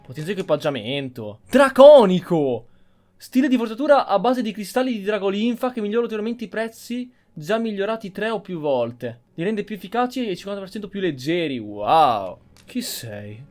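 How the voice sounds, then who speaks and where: A man's voice: sits high, big pitch swings, brisk, a little mellow. An Italian man hosting a gameplay video with casual commentary. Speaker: gaming host.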